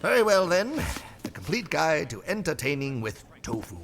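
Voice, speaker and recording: An actor playing a whimsical, eccentric bookshop owner in an audio drama, theatrical and playfully erudite. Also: male voice, fairly high pitch, sing-song, normal speed, gravelly, a bit bright, exaggerated voice. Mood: delighted, whimsical.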